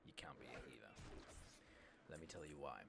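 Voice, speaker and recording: A man giving relaxed, soft-spoken ASMR commentary over a video game, murmuring casually and sometimes dropping toward a whisper. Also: rumbling low, a little fast, creaky. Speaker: host of a gaming ASMR channel. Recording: loud steady background noise and ambience.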